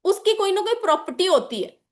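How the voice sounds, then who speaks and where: A woman's voice: very high-pitched, expressive, brisk. A woman with a sore throat teaches an informal online class, explaining with examples, repeating key phrases and mixing in English terms. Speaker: teacher of an online class on chakras and magical oils. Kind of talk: informal spoken lesson with examples.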